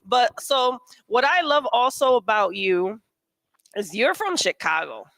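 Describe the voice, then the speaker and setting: A woman hosting a casual live-stream chat in an informal, chatty way, quick to laugh. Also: fairly high pitch, very animated, vocal fry, fairly bright tone, dry recording. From Mexico. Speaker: show host.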